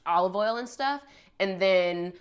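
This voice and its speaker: A young woman with a stuffy, congested voice from a recent cold talks through her hair routine at home. She sits at a middle pitch and keeps a chatty, upbeat flow as she explains each step to her viewers. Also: unhurried. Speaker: beauty vlogger. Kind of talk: casual hair-care vlog.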